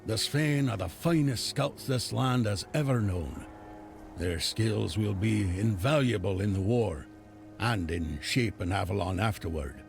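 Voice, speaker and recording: Gruff fantasy game chieftain type, deep and really croaky, grave delivery, music behind. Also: male voice, unhurried. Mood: solemn, grateful. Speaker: video game character. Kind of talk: dramatic fantasy game dialogue. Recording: game dialogue over clear music.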